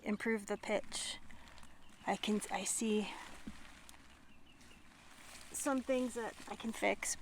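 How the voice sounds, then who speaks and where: Slow, musing woman vlogging outdoors. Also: medium pitch, vocal fry. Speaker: hiking vlogger. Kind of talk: casual vlog chat to viewers. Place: outdoors, on a walk and at a tent setup. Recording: noisy outdoor camera recording with natural ambience.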